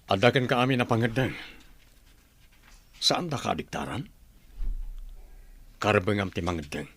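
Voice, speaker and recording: A man's voice: fairly low pitch, sing-song, moderate pace, fairly bright tone, a little hiss or hum. A man with an Ilocano accent playing a stern, commanding rebel leader in a radio drama.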